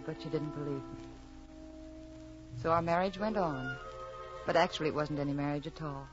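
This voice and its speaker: An actress playing an earnest, emotional wife in a melodramatic radio drama. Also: female voice, lowish voice, lo-fi sound, close to an everyday voice. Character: anxious, loving pregnant wife, later sad and reflective narrator. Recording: vintage radio drama with music behind.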